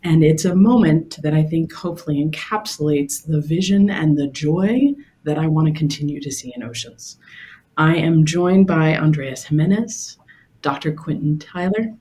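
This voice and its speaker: A woman's voice: deep voice, normal speed, warm tone. A woman speaking as a panel moderator, introducing and telling personal stories in a conversational way.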